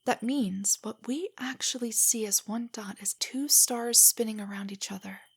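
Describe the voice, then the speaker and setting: Breathy, higher-voiced nerdy girlfriend played by a woman. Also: normal speed. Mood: soft, earnest. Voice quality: breathy, often near a whisper. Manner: rambling, giggly, hesitant, explaining trivia. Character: nervous, nerdy young girlfriend, affectionate and eager stargazer. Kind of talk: roleplay audio, intimate soft monologue to a listener.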